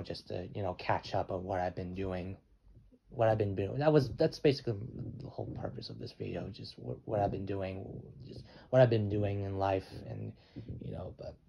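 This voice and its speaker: An eighteen-year-old man talking in a rambling vlog, halting and restarting his sentences often. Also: low voice, vocal fry, mellow. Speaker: channel owner. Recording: dull lo-fi with faint noise.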